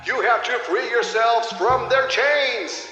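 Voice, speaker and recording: Slow, high-pitched fiery prophet. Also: male voice, sharp tone, exaggerated voice. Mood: fervent urging. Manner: declamatory, exhorting. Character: fiery male prophet preaching against data tyranny. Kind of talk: radio drama acting. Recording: muffled echoey hall sound over music.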